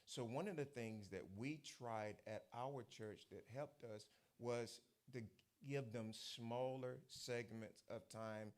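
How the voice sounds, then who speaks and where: A man with an American accent, a bishop, breaking in to add measured, affirming pastoral advice during a ministers' session. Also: medium pitch, darkish tone. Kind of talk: interjecting commentary. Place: church hall, on a microphone.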